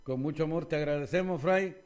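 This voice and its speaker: A man's voice: fairly high pitch, fairly quick, a crowd in the background. Friendly man hosting local radio. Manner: friendly, teasing, calls guests profe and hermano. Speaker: radio host. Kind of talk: casual radio interview.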